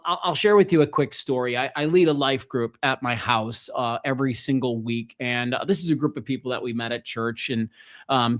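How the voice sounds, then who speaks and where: A middle-aged man hosting a solo motivational podcast, speaking earnestly and emphatically, straight to the listener. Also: medium pitch. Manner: earnest, emphatic, addresses listener directly with rhetorical questions. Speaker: podcast host and self-help coach.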